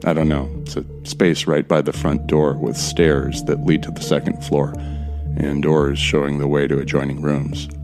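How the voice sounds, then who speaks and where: A man's voice: rumbling low, completely flat delivery, moderate pace, over music. A man playing an uneasy but determined, wry explorer, narrating a first-person horror audio drama in a confiding, colloquial way. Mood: wary, descriptive. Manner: descriptive, confiding, colloquial with swearing.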